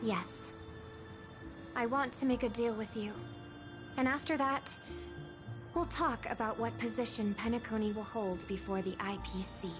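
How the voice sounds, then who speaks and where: An actress playing an earnest, resolute young woman, a devoted sister, in gentle, measured game dialogue. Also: on the high side, close to an everyday voice. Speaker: game character voice actor. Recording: music behind the voice.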